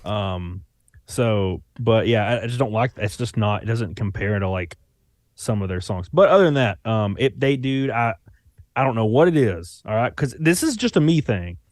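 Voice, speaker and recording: A man's voice: lowish voice, lively intonation, moderate pace, darkish tone, no echo, studio quality. A young man with a Southern Alabama accent, talking loosely in a casual chat, full of fillers and restarts. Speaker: YouTuber guest. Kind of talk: casual conversation.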